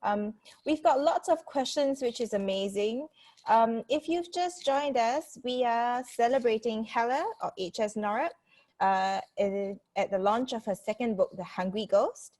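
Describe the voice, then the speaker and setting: A woman's voice: on the high side, a little slow, a little mellow. A woman hosting an online book launch, upbeat and using some fillers as she moves to the questions. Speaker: event host. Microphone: video call.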